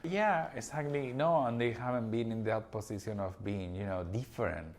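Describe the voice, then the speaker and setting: A man's voice: medium pitch, normal speed, slight background noise. A man from Spain with a Spanish accent, co-hosting a casual podcast chat and telling stories emphatically. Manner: animated, emphatic storyteller. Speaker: podcast co-host.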